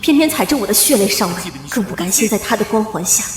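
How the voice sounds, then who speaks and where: A woman playing a young noblewoman reborn for revenge, narrating solemnly and with measured drama. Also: mid-range voice, lively intonation, lightly acted. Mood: anguished, resolute. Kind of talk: dramatic first-person narration for an animated period drama. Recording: echoey long reverb over loud music.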